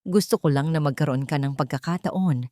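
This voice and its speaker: A woman voicing an earnest, gentle young wealthy man, remorseful and in love, in an audiobook drama. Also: sits low, a little fast, no room sound, natural voice. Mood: earnest, reassuring.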